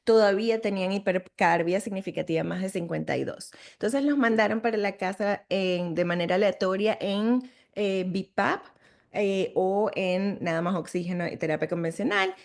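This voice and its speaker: A woman's voice: mid-range voice, vocal fry. A middle-aged woman with a Venezuelan Spanish accent, a sleep medicine physician giving a conversational webinar lecture full of fillers and restarts.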